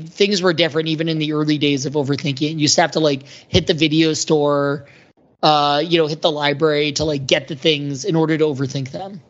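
A man's voice: a little high, a bit bright. A middle-aged American man co-hosting a podcast, reminiscing casually in a rambling way peppered with filler words. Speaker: podcast co-host. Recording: dull, lo-fi audio.

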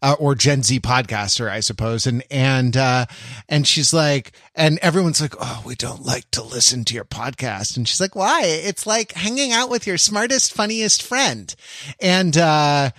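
A man co-hosting a podcast in casual chat, talking in a halting, self-interrupting, jokey way. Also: medium pitch, lively intonation, a bit bright, no room sound, clean studio sound. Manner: jokey, teasing. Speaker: podcast co-host.

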